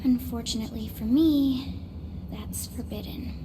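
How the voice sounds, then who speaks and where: A woman playing a sweet, excitable, romantic young girl in an English anime game dub, earnest and prone to gushing. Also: a little high, unhurried, noisy background, natural voice. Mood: wistful. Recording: loud music behind the voice.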